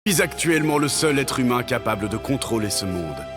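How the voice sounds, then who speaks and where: An actor playing a solemn, godlike game master making an announcement in a dubbed anime. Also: male voice, medium pitch, lively intonation, brisk, close to an everyday voice. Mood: cold, solemn. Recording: prominent music under the voice.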